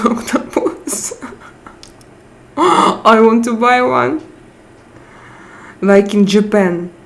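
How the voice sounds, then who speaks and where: Slow-talking Russian-accented woman streamer. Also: medium pitch, a little mellow. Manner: slow, searching for English words with frequent hesitations. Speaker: live chat streamer. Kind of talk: casual live stream chat, reading viewer comments.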